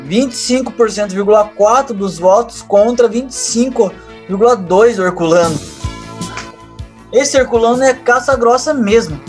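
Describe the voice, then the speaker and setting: Man acting a high-pitched, blunt campaign fixer. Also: normal speed, a little mellow, faint music behind, natural voice. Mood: resentful. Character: resentful, worldly male campaign fixer. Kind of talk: audio drama acting.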